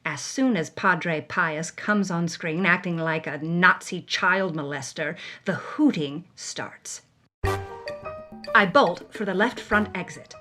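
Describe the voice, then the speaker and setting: A woman's voice: on the low side, slow, pushed and bright, faint music behind. A woman voicing a witty, adventurous actress who reads her own journal in a theatrical, wry, breathless way. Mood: urgent. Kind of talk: dramatic journal narration.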